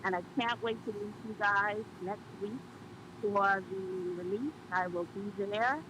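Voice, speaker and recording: A Bahamian woman calls in to a church show, talking politely and warmly with plenty of ums and small hesitations. Her voice sits at a middling pitch, and clear hiss and background noise run under the line. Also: steady tone, a little slow. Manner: polite, chatty, many ums. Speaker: caller. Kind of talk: call-in radio chat.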